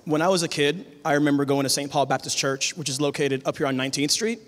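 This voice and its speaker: A man, a high school teacher, giving a conference keynote from a stage with a handheld mic, talking in a measured, conversational way. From Tacoma, Washington. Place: large conference hall on stage. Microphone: handheld cordless mic. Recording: long hall reverb.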